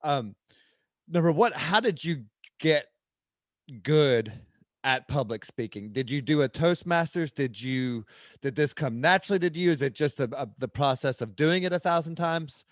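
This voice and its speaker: A man's voice: on the high side, lively intonation, moderate pace, no echo, clean studio sound. A man hosting a podcast, asking questions and reacting with effusive enthusiasm. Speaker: podcast host.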